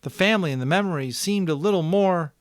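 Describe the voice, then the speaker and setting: Man acting earnest, hesitant boy, pitched up. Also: no echo, clean studio sound, lightly acted. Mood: wistful, hesitant. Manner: halting, searching for words. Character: earnest, hesitant young boy, tentative and wistful.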